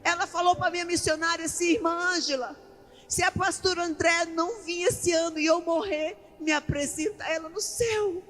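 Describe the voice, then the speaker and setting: A woman's voice: extremely high pitch, steady tone, moderate pace, fairly bright tone. A Brazilian woman pastor preaching an impassioned, emphatic sermon in colloquial everyday speech, leaning on repetition and rhetorical questions. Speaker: pastor preaching at a women's church conference. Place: large indoor church event hall. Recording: echoey large hall PA, faint music.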